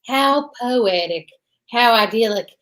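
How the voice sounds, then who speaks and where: A woman's voice: a little high, lively intonation, slow, a bit bright. A woman playing an eccentric, tipsy male photographer with a pompous, flowery, theatrical manner in old-time radio style. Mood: grand, whimsical. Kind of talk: old-time radio comedy-detective acting.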